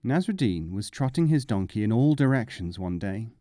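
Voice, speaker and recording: A man's voice: lowish voice, expressive, moderate pace, warm tone, no room sound, studio quality. A man narrating short humorous fables as a scripted audiobook reading.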